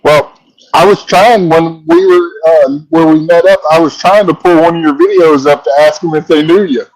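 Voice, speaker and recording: A man joining a livestream video chat as a fellow streamer, making quick offhand remarks. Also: on the high side, a little mellow, rough recording.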